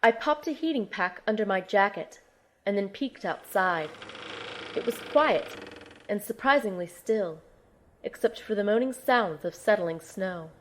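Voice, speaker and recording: A woman's voice: medium pitch, expressive, a little slow, close to an everyday voice. A young woman playing a determined field medic and operative, narrating her mission in the first person for an audio drama. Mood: tense, reflective.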